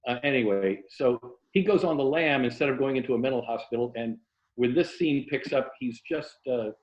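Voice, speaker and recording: A man's voice: medium pitch, moderate pace. An American man, a writer speaking as an interview guest in a wry, self-deprecating way, on an indoor video call. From Tampa, Florida, USA. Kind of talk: casual conversation, then reading own novel excerpt aloud. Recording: roomy, muffled video-call audio.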